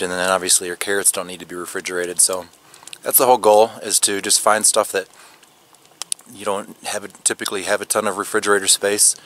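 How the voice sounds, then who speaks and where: A man's voice: deep voice, a little hiss or hum. Chatty man vlogging at arm's length. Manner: relaxed, chatty, with fillers and restarts. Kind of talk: casual vlog talk to camera. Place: outdoors at a snowy campground and inside a camper. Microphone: handheld camera at arm's length.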